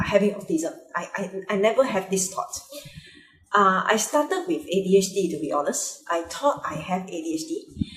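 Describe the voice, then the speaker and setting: A young Malaysian woman with a Malaysian accent, Mandarin her first language, talking hesitantly in a personal vlog with many fillers and restarts. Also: medium pitch. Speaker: vlogger. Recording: echoey room.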